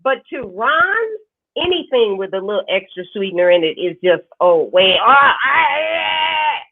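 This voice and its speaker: A woman's voice: on the high side, sing-song, measured pace, gravelly, no echo. A woman chef co-hosting a cooking show, easygoing and agreeable in casual talk over a video call. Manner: easygoing, agreeable, laughs along. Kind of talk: casual chat. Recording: tinny phone-like line.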